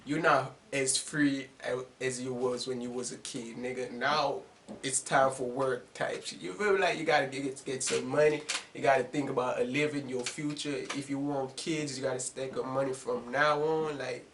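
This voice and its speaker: A man's voice: mid-range voice, steady tone, normal speed, a bit bright, a little hiss or hum. A man of twenty-one chatting casually in a rambling, slangy, profane and self-deprecating monologue, laughing often. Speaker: YouTuber making reaction videos.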